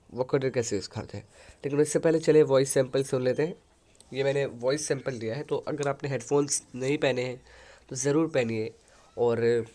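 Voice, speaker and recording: A man hosting a software tutorial, explaining an audio editor step by step into a headset mic. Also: mid-range voice. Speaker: tutorial host.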